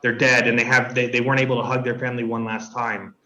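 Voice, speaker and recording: A male radio guest speaking urgently and emotionally, in halting phrases. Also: medium pitch, level tone, sharp tone. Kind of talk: radio interview.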